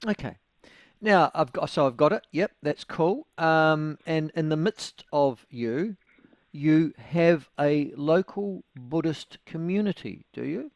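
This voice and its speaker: A man's voice: on the high side, expressive, slow pace, dry recording. A man hosting a talk radio interview, wry and conversational, with leading questions. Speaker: radio host.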